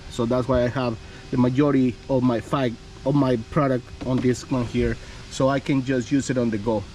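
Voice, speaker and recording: Male detailing vlogger, mid pitch, loose filler-heavy delivery, recorded outdoors with clear traffic noise. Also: fairly even intonation, moderate pace, a little mellow, rough recording. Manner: rambling, heavy on "you know" and "um". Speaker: car detailer and channel host. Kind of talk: casual vlog chat and interviewing.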